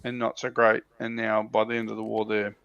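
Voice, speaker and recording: A man's voice: on the low side, completely flat delivery, a little fast, creaky, dry recording, highs cut off. A man chatting casually as a panelist on a livestream, talking in a hesitant way that often doubles back on itself. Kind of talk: casual chat. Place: livestream call.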